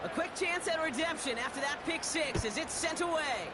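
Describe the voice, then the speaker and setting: American football game announcer, high excitable voice, punchy, stadium crowd and music behind. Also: male voice, normal speed. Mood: brisk, engaged. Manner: excitable, punchy broadcast delivery. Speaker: play-by-play announcer. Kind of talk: football video game play-by-play commentary.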